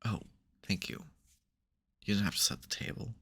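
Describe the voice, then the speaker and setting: A man playing a supervillain nemesis who is secretly soft, teasing dryly and getting flustered in an intimate audio roleplay. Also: on the low side, lively intonation, normal speed, gravelly, husky, full character voice. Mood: teasing, bossy. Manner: dry teasing, hesitant, mock-grudging.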